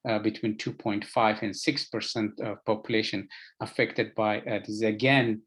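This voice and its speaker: A man with an Ethiopian accent gives a medical lecture as an expert, speaking haltingly with frequent filler sounds and repeated words. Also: on the low side, flat delivery, a bit bright, husky. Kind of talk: lecture presentation to health professionals.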